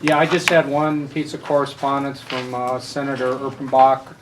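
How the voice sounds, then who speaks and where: A school board member speaking hesitantly, with many filler sounds. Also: male voice, medium pitch, completely flat delivery, moderate pace, noisy background.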